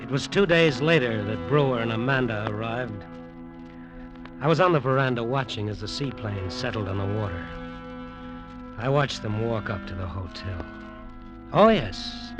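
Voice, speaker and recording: An actor playing a tense, suspicious resort owner, narrating and talking tersely in a vintage radio drama style. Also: male voice, mid-range voice, animated, a little slow, pauses often, music in the background, natural voice, low-quality recording. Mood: brooding narration.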